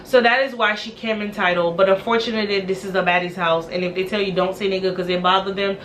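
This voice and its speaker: Chatty vlogger woman, bright edgy voice, blunt and slangy; slight background noise. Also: mid-range voice, steady tone, fast, low-quality recording. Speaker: recap vlogger. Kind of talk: casual show recap.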